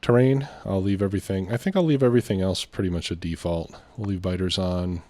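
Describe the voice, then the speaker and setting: Deep voiced dude doing a chill lets play, kinda stuffed up from a cold, hesitant, thinks out loud. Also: male voice, brisk, no echo. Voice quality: slightly stuffy, congested nose. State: getting over a cold. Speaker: gaming video host. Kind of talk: casual let's play commentary.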